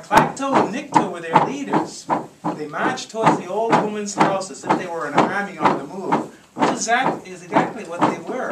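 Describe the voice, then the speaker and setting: A storyteller reading aloud to a live group in a theatrical, encouraging way, with coaching asides. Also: mid-range voice. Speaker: storyteller leading a live audio theatre show. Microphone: handheld camcorder at a distance. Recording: echoey room, distant camcorder mic, noisy.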